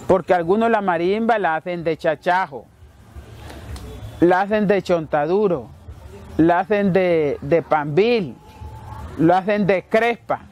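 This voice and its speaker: Slow-spoken man. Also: sits high, a little mellow. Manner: slow, repetitive, explanatory. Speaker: marimba maker. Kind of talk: documentary interview.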